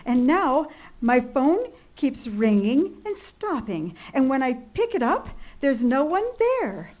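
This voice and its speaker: An actress playing an anxious, demanding bedridden wife in an old-time radio thriller, flustered and easily exasperated. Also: female voice, sits high, sing-song, takes it slowly, a little mellow, exaggerated voice. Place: live theatre stage radio play.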